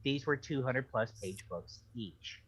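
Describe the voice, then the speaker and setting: A man's voice: mid-range voice, measured pace, fairly bright tone, low-quality recording. A man co-hosting a book club in casual, conversational chat.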